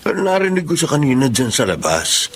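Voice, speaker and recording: Grumbling neighbor, mid-pitched, light hiss. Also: male voice, huge pitch swings, a little fast. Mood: annoyed. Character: annoyed male neighbor.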